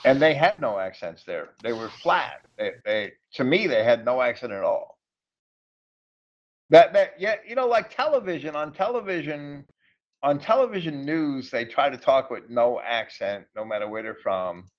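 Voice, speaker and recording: A man's voice: mid-range voice, animated, moderate pace. A middle-aged man with a New England accent talks in a loose, rambling way. He repeats his words often. He comes through a muffled, lo-fi voice chat line. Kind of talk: casual group chat.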